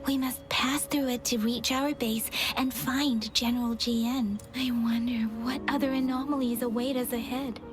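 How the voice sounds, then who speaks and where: A woman's voice: fairly high pitch, fairly even intonation, normal speed, hardly any pauses, lightly acted. A woman playing an earnest, watchful young female soldier in a game cutscene, speaking with care and concern. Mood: wary, troubled. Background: music.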